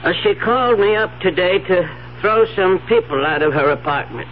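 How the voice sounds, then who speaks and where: An actor playing an obliging, plain-spoken, gruff building superintendent in a 1970s radio drama. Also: male voice, high-pitched, lively intonation, normal speed. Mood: awkward, concerned. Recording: dull 1970s radio recording, faint hiss.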